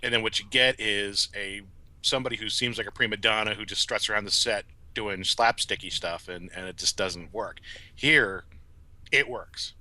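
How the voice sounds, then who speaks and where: Male podcast guest: casual commentary, lowish pitch, forceful bright tone, dry close sound. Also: moderate pace, dry recording.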